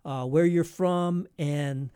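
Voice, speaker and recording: A man hosting a conversational radio interview, speaking in a halting way as he searches for his words. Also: a little high, fairly even intonation, dark tone, clear, resonant tone, no echo, studio quality. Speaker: radio show host.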